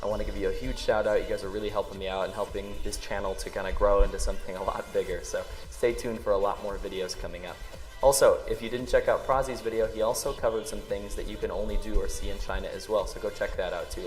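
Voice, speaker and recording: A man's voice: fairly low pitch, little pitch movement, fast talker, far from the mic, faint music behind, low-quality recording. A man with an American accent hosting a casual vlog, speaking in an enthusiastic, emphatic way. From rural New York, United States. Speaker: vlog host. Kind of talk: casual vlog talk to camera.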